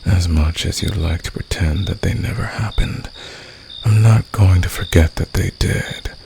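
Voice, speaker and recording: Man playing a low, gravelly flirtatious villain. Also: noisy background. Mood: flirtatious, challenging. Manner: teasing, probing, drawn-out pauses. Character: flirtatious, teasing male fantasy villain, smugly confident. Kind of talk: ASMR roleplay, intimate scripted monologue.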